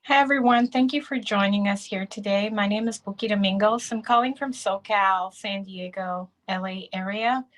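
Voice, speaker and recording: A woman's voice: medium pitch, moderate pace, hardly any pauses. A woman in her forties from Germany, introducing herself as a panelist on a video call. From Germany, with Nigerian roots. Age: 40s.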